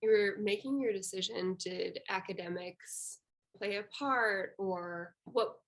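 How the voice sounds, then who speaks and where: A woman's voice: mid-range voice, slow pace, poor audio. A young woman working as an interviewer, asking questions in a casual, conversational style.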